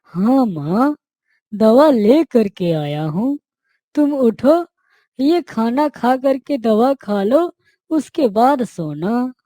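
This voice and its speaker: A narrator voicing a dutiful, caring village boy in a cartoon story, speaking earnestly and gently. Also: mid-range voice, expressive, extremely slow, dry recording, studio quality, pitched above the performer's own voice.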